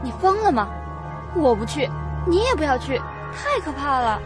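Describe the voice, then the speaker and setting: A woman's voice: high-pitched, big pitch swings, moderate pace, over music. An actress playing a timid young female college student in a radio drama. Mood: frightened, pleading.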